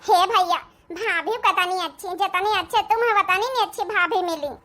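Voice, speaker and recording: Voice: very high-pitched, moderate pace, bright, forceful tone. A performer voicing a meek, affectionate young village woman with an Awadhi accent, plaintive and naive.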